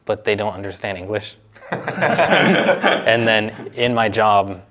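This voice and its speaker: A young man from the United States chatting casually in an interview, relaxed and often laughing as he talks. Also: lowish voice, unhurried. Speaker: interviewee, university student.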